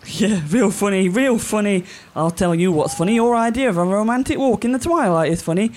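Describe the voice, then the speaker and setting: An actress playing a sharp-tongued cockney woman from wartime London who has become a spy, sarcastic and loud. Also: medium pitch, expressive, quick pace, lightly acted. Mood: exasperated.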